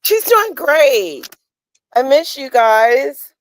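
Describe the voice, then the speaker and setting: A woman's voice: sits high, sing-song, extremely slow, poor audio. A woman chatting casually as a guest on an online panel. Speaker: late-joining panel guest. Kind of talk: casual chat.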